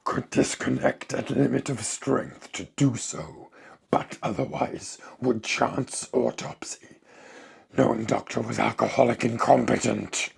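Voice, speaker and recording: A sinister alien parasite speaks through a corpse. The voice is an extremely husky, whispering croak full of gravel. It moves slowly in clipped, halting phrases, gloating coldly over each word. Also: male voice, mid-range voice, fairly even intonation, exaggerated voice. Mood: cold, calculating. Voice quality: hoarse raspy croaking whisper. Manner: halting, clipped telegraphic speech, savouring words.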